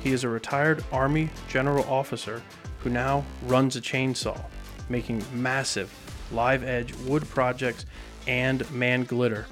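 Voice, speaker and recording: A man with an American accent hosts a conversational interview show from a studio. His pitch is average for a man, and there is a faint trace of music behind him. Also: fairly even intonation, a little slow, a bit bright. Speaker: podcast host. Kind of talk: casual interview. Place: podcast studio.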